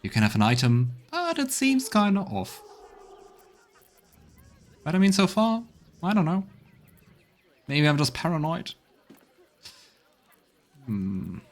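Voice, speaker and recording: A man's voice: a little high, huge pitch swings, slow, pauses often. A man giving casual let's play commentary, thinking aloud as he goes. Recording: faint game music behind.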